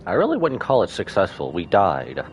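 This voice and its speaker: A man streaming his reaction to a game, talking casually with excitable exclamations. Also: low-pitched, moderate pace, crowd noise. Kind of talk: casual livestream reaction commentary.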